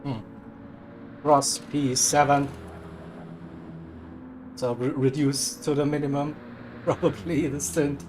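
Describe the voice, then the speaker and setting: A man commentating a live sim race, hoarse and cracking, upbeat and laughing a lot. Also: a little high, little pitch movement, slow, long pauses, noisy background. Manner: upbeat, laughs often, self-mocking.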